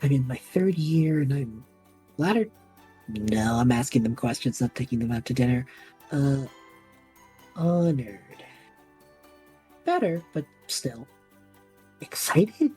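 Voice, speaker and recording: A woman plays a young male student at a magic academy in an audio drama. The voice is deep for a woman, soft-edged and husky. He rambles and second-guesses himself, flustered and sarcastic, over background music. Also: animated, slow pace, pauses often, heavily acted. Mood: flustered rambling. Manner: rambling, self-interrupting, flustered. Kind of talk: audio drama acting, monologue and banter.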